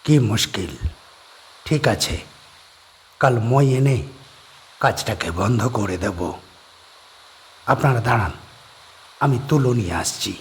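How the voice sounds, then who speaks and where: A man doing a soft-spoken, secretive island farmer. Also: animated. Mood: concerned, polite. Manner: low, soft-spoken, slow and measured. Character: lonely middle-aged male island farmer, quiet and secretive.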